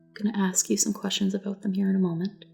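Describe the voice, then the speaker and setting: A soft, breathy woman gently giving calm, reassuring instructions in an ASMR roleplay, often dropping to a whisper. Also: mid-range voice, fast, faint music behind. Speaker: ASMR roleplayer as a test examiner. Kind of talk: soft-spoken ASMR personal attention roleplay.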